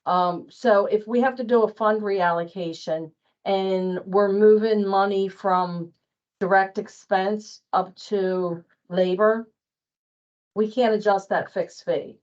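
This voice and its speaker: A woman's voice: mid-range voice, steady tone, slow pace, darkish tone, muffled recording. A woman giving an informal spoken explanation as presenter at a staff training.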